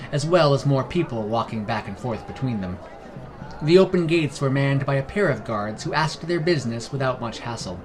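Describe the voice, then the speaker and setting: A man's voice: medium pitch, noisy background. A man reading scripted fantasy story narration as the narrator of a fiction podcast. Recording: music behind the voice. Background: background music.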